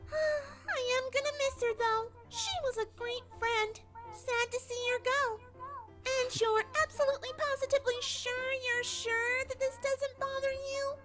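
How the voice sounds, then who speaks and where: Casting: extremely high-pitched bubbly cartoon pony girl, gushing, sweetly deranged, music underneath. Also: steady tone, measured pace. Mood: wistful. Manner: gushing, girlish, exaggerated. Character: bubbly, manic female cartoon pony, cheerfully murderous. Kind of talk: fan fiction audio drama.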